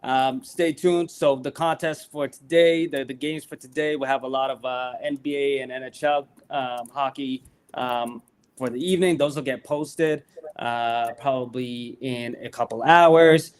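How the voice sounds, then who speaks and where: Male guest with a smooth, clear voice, upbeat promoter type, mid pitch. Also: steady tone, measured pace. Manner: upbeat, pitching features, with many fillers. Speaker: guest promoting a sports app. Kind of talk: casual promotional chat.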